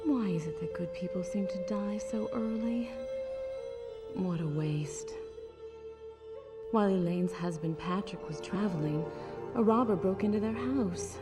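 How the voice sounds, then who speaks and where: An actress playing a weary, sorrowful wife in a video game, speaking gently in a hushed, wistful way. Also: female voice, mid-range voice, a little slow, pauses often, over loud music, natural voice. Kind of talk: dramatic video game acting.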